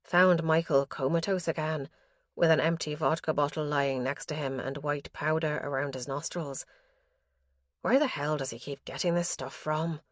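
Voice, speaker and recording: A woman narrator gives voice to an elderly father confiding in his diary, his anxious, guilt-ridden words coming out low and plain. The recording is dry and close, with no room around it. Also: steady tone, moderate pace, no room sound, natural voice.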